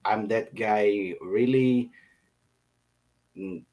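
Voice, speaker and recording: Slow, hesitant Brazilian man learning English. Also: lowish voice, darkish tone. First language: Portuguese. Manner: hesitant, searching for words, many "um"s. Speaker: English student. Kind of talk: casual conversation lesson. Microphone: video call.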